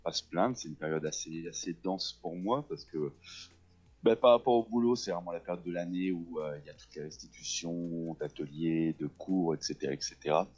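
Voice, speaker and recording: A middle-aged man from France speaks in a very deep, low voice, stumbling through his answers with frequent fillers and false starts. The recording sounds dull and lo-fi, like an old radio capture. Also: normal speed, a little mellow. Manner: hesitant, many fillers and restarts. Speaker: guest, actor and theatre teacher. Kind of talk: casual radio interview.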